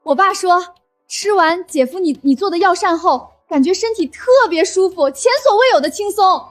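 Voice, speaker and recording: An actress playing an outspoken, practical young sister-in-law from the city, with an expressive, persuasive manner. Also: female voice, very high voice, normal speed, dry recording. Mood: eager, persuading. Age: young adult. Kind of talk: scripted drama acting.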